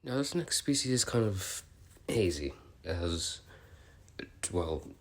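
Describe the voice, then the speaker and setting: Man acting as bookish male researcher, low pitch, awkward, curious, hesitant rambling delivery, audio log drama. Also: very animated, takes it slowly, exaggerated voice. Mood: curious, musing. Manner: hesitant, rambling, apologetic, politely explanatory. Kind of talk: in-character audio log, semi-improvised explaining.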